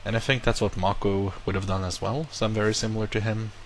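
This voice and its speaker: A man's voice: lowish voice, fast talker, slight background noise. A man who is not a native English speaker, casually telling a long personal story, rambling and correcting himself as he goes. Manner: rambling, self-correcting, animated retelling. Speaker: vlogger. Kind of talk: casual monologue to camera.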